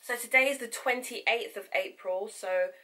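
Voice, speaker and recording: A woman's voice: a little high, a little slow, pushed and bright. A young woman, sniffly from a runny nose, chatting candidly to camera in a casual vlog update. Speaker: vlogger.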